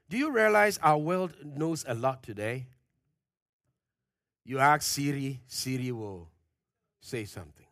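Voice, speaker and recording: A man preaching a sermon, pressing his points with questions to the congregation, loud at the peaks and dropping low and quiet at phrase ends. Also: dry recording, clean studio sound. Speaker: pastor.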